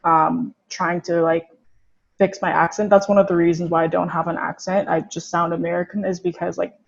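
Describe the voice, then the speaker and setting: A woman's voice: on the low side, flat delivery, a little fast, vocal fry, dull audio, a little hiss or hum. A young woman from Korea, a guest in a casual conversation, talking reflectively with many fillers.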